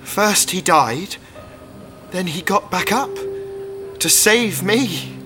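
A wounded soldier in an audio drama, a fervent believer. His voice is high and piercingly tense. He speaks slowly and insistently, with awe. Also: lively intonation, sharp tone, heavily acted. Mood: awed. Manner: intense, insistent, reverent.

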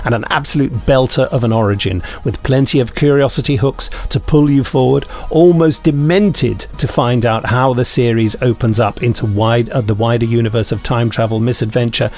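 A man's voice: medium pitch, moderate pace, few pauses, a little mellow. A man co-hosting a podcast, chatting and reading out reviews in a jokey, rambling, digressive way. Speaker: podcast co-host.

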